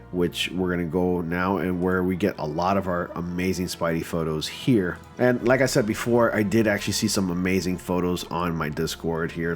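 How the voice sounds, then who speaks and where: A man with a stuffy, just-off-a-cold voice, casually and enthusiastically commenting on fan photo submissions with lots of fillers. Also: low voice. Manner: chatty and enthusiastic, with many fillers.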